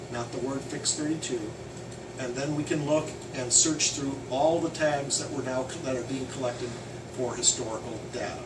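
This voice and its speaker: A man giving a casual, hands-on software training walkthrough, loud and emphatic on key points. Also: medium pitch. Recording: roomy, distant, noisy air conditioning.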